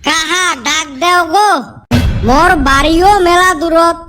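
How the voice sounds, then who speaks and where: A man voicing a boastful young village tutor in a comic cartoon, with a North Bengal accent and a raised-pitch effect. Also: fairly even intonation, unhurried, music in the background. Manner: boastful, argumentative. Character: boastful young male village tuition master.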